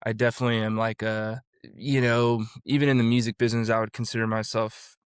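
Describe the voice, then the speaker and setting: A man's voice: mid-range voice, flat delivery, a little fast, smooth, no room sound, clean studio sound. A man in his forties from Florida, a vocal coach and producer, talking casually as an interview guest and rambling through his story with many restarts. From Florida, USA.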